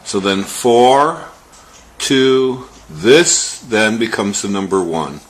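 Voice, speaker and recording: A man giving an explanatory music lesson as a teacher, talking step by step in a measured manner. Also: fairly low pitch, lively intonation, reverberant, low-quality recording.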